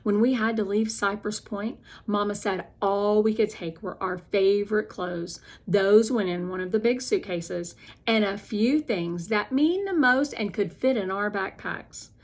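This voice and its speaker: A woman narrating a children's novel as an expressive, deliberate read-aloud. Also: medium pitch.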